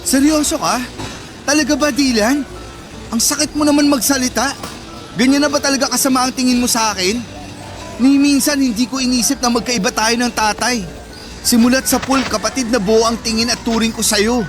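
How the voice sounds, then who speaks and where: A man's voice: very high-pitched, fairly even intonation, normal speed. A man with a Filipino accent playing a protective young older brother in a radio drama, emotional and pleading. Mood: hurt, pleading. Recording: music bed under dialogue. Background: background music.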